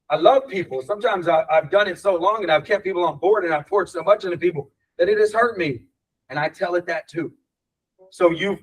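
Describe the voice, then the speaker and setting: A man's voice: a little high, distant mic, poor audio. Brief: man, team-meeting coach, blunt and passionate, speaks away from the mic. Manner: blunt, passionate, swears casually. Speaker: real estate team leader.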